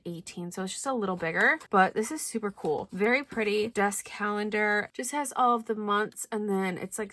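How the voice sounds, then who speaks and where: Chatty, slightly hoarse woman unboxing. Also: mid-range voice, fairly bright tone, no echo. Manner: chatty, thinking aloud with many likes and ums. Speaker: planner vlogger. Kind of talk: casual unboxing chat.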